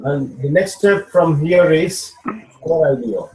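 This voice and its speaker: A man's voice: fairly high pitch, animated, a little slow, a little mellow, low-quality recording. A man coaching someone over a video call, explaining steps patiently and checking in with "okay?" after each point. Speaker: business mentor.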